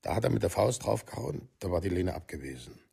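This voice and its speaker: Radio play, middle-aged East German man, plain-spoken, colloquial, very raspy, thick fry. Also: low-pitched, big pitch swings, dark tone, full character voice. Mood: troubled. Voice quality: gravelly, husky. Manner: colloquial, halting, seeking agreement. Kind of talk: documentary radio play monologue.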